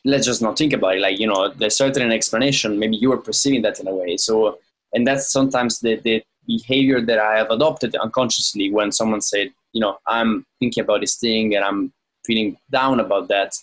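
A man's voice: on the low side, fairly quick, low-quality recording. A man in casual conversation, speaking thoughtfully and acting out the remarks he quotes. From lives in London. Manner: reflective, acts out quoted remarks.

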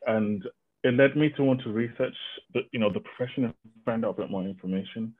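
A man's voice: medium pitch, a little fast. A man from Zimbabwe, a guest on a video-call podcast, answering with many hesitations and restarts. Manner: hesitant, full of ums and restarts. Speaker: guest occupational therapist. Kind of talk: casual interview answer. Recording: tinny phone-like call audio.

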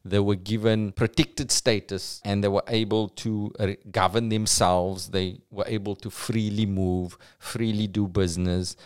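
A young man hosts a podcast and walks through history in a casual, joking way, dropping in slang as he goes. His voice is low and smooth. It sounds close-miked and dry, with no room echo. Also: level tone, unhurried, few pauses. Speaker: podcast host.

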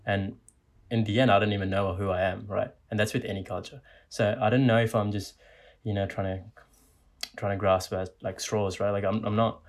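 Deep, flat-voiced man musing aloud. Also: moderate pace. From Sri Lanka. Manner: rambling, tagging sentences with "right?". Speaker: podcast host. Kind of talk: casual discussion.

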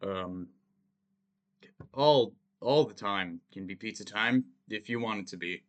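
Guy doing the podcast outro, low pitch but bright sharp tone, jokey and loose. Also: unhurried. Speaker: podcast host. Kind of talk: casual outro plugs.

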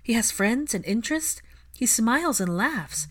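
Woman voicing an earnest, higher-pitched young witch. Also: lively intonation, moderate pace. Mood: tearful pride. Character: earnest young witch, a grateful, tender-hearted tutor.

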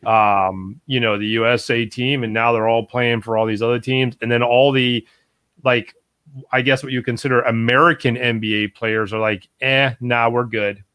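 A man's voice: medium pitch, steady tone, normal speed, fairly bright tone, smooth. Male co-host, easygoing, casual slang, dry jokes delivered straight. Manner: laid-back, dry humor, says "man" and "bro". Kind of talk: casual chat.

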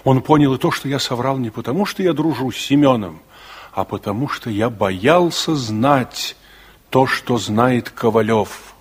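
Man looking back on his youth, husky, confessional narration, 60s radio play. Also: medium pitch, animated, unhurried. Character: reflective man recalling his uneasy youth, candid. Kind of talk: dramatic first-person narration, 1960s radio play.

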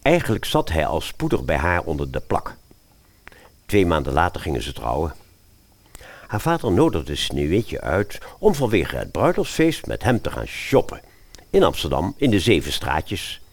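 A man's voice: low-pitched, huge pitch swings, moderate pace. A man narrating a scripted fairy-tale radio play as its storyteller.

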